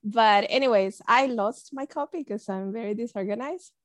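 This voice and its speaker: A woman from El Salvador speaks as a guest, earnest and conversational. Her voice sits a little high and sounds dry and close. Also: moderate pace, dry recording. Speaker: librarian guest.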